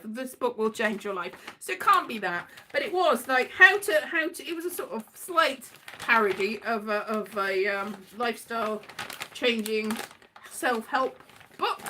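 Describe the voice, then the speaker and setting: Scatty, high-pitched woman rambling brightly. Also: a little hiss or hum. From Wales. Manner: scatty, digressive, thinking aloud, muttering asides to herself. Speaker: writer and performer, host. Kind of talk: rambling casual monologue.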